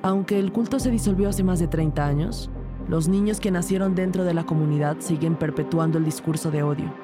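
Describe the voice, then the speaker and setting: A woman playing a detective who narrates a crime case as scripted audio fiction, measured and serious. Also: lowish voice, close to an everyday voice. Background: music.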